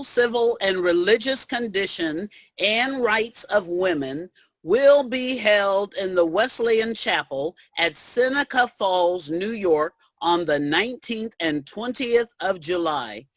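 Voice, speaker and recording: A woman playing a stirring suffrage orator in reader's theater, declaiming with deliberate emphasis. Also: medium pitch, expressive, dry recording. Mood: solemn, formal.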